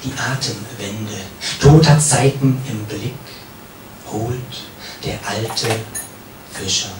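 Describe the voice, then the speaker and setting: A man with a mid-pitched voice recites poetry solemnly and very slowly, leaving pauses and letting line endings drop away. He is recorded live from some distance, with heavy room echo and clear background noise. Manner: solemn, measured, with pauses and falling phrase endings. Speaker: poet reading own work. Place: café, live reading.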